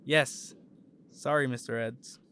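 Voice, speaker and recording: A man's voice: mid-range voice, fairly even intonation, takes it slowly, fairly bright tone, a little hiss or hum. An actor playing an earnest, shy young man in an audio drama, with a hesitant way of talking. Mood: shy, awkward. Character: John, devoted young husband, also shy teenage student.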